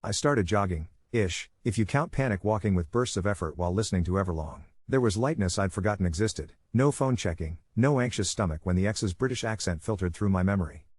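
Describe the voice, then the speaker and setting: A man with a fairly low voice reads an online story aloud at a quick clip. His delivery is brisk and even, as he narrates a first-person account. The sound is dry and close, with no room around it. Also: no echo.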